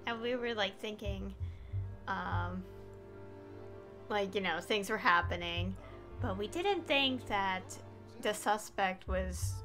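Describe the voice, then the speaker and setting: A woman's voice: mid-range voice, takes it slowly, long pauses, bright, forceful tone. A woman streaming a game, giving casual commentary in a hesitant, rambling way that often trails off. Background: game music.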